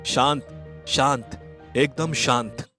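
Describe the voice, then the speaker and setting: A man voicing a calm, protective young husband in a story, reassuring in manner. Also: medium pitch, brisk, faint music behind, lightly acted. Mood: soothing.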